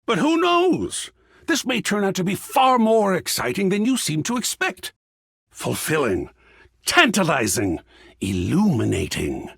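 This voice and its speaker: A man voicing a whimsical, effusive video game character who is art itself, raspy and gravelly, speaking in a grandiose, florid, theatrical way. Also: high voice, huge pitch swings, unhurried, fairly bright tone, no echo, clean studio sound, exaggerated voice. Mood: excited, theatrical. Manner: grandiose, florid, savoring words with dramatic swoops. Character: flamboyant, whimsical living embodiment of art, effusive and philosophical.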